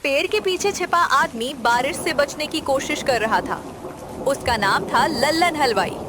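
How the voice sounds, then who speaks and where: A woman's voice: high-pitched, expressive, a little slow. A woman narrator reading a scripted children's story. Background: music under the voice.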